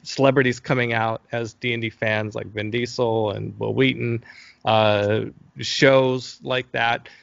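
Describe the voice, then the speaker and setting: Middle-aged male interviewee, conversational and halting, creaky delivery, slightly muffled lo-fi call audio. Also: mid-range voice, flat delivery, fairly bright tone, no room sound. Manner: hesitant, rambling, thinking aloud. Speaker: interview guest, game company founder.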